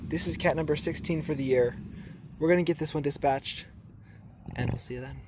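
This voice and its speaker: A man with a raspy voice today, talking casually and thoughtfully to a camera while checking traps outdoors, with filler ums and restarts. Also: a little high, brisk, very noisy. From southern Arizona. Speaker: trapper filming his trapline. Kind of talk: casual outdoor vlog narration. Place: outdoors in desert washes.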